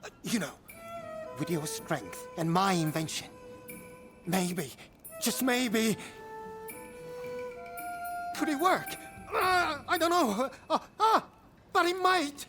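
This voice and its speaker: A man voicing an excitable, eccentric inventor in a video game, flustered and theatrical in his delivery. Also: very high-pitched, takes it slowly, pauses often, over music, heavily acted. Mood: nervous, scheming. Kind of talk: video game cutscene acting.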